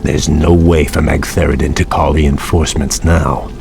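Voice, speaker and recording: A man's voice: rumbling low, little pitch movement, normal speed, vocal fry, a little mellow, soft background music. A man playing a noble, loyal elven prince in a video game, speaking formally and earnestly. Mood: confident. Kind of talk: dramatic game cutscene acting.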